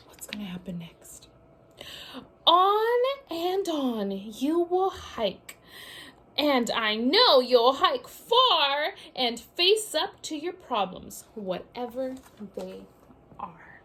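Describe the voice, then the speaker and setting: A woman teacher doing an expressive children's read-aloud, speaking directly to her young listeners in an animated way. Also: high voice, drawn-out words. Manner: animated, addresses children directly with questions and asides. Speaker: teacher reading a story aloud to young pupils.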